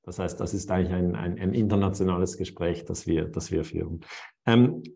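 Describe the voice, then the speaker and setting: Moderator, Mann mit Schweizer Akzent, tiefe Lage, stockend und bedächtig im Interview. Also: male voice, deep voice, steady tone, fairly quick, gravelly, mellow. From Switzerland. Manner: hesitant, many fillers and restarts. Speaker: host. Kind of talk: interview over video call.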